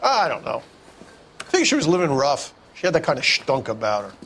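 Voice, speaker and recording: An actor playing a blunt, irritable barkeeper in a 1940s crime game, dismissive in manner. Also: male voice, a little high, wildly swooping pitch, a little fast, fairly bright tone, a little hiss or hum, heavily acted. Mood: irritated. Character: gruff, irritable male bar keeper.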